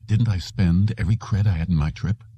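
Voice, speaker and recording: A man's voice: low-pitched, brisk, dark tone, breathy edge. A man voicing an indignant, confused male clerk with an agitated, sardonic manner in an audiobook. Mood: confused, urgent.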